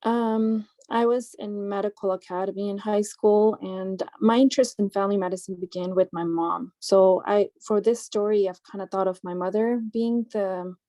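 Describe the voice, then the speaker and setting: A woman's voice: medium pitch, fairly even intonation, moderate pace, hardly any pauses, warm tone, no room sound. Hesitant woman in a dry room. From born in Pakistan, grew up in New Orleans. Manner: hesitant, many ums. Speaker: medical graduate interviewee. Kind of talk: mock interview answers.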